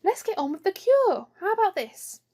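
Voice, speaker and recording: A woman's voice: extremely high pitch, big pitch swings, normal speed, warm tone, voice pushed up. A woman voicing a bossy, boastful young princess with a haughty, grandly matter-of-fact manner in a children's storybook. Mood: impatient, bossy.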